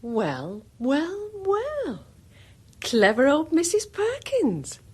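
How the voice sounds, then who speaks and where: An actress playing a playful, coaxing young British wife in a radio drama, wistful and teasing. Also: female voice, high voice, huge pitch swings, very slow, a little mellow, full character voice. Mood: pleased. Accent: British English.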